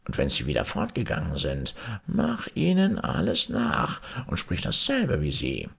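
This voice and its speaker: A man voicing a lame, wise old frog in a fairy tale, husky and strained, with a drawn-out croaking delivery. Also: very deep voice, wildly swooping pitch, fairly bright tone, exaggerated voice. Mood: instructing.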